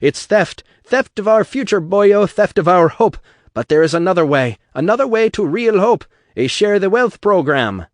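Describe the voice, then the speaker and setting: A man's voice: high voice, expressive, normal speed, dry recording, clean studio sound, pitched above the performer's own voice. A man reading an audiobook voices a fiery male agitator with an Irish brogue, bellowing to a crowd.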